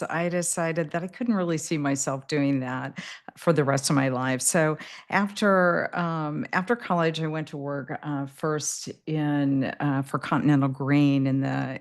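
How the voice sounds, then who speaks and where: Low-pitched midwest woman, middle-aged, chatting as a podcast guest, lots of uh and restarts. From Midwest US, Indiana. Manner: thoughtful, with frequent fillers and repeated words. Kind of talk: conversational interview answers.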